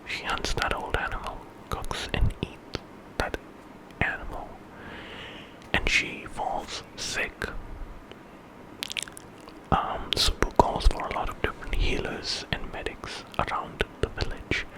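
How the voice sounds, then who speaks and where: A man telling an ASMR story in a hushed, unhurried whisper with pauses. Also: rough recording. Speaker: ASMR storyteller, channel host.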